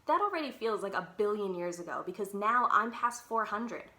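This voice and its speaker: Nine-year-old bookish girl, storybook heroine, voiced by a woman. Spirited, earnest, determined, bright tone. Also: mid-range voice, animated, moderate pace, a little hiss or hum. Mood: proud.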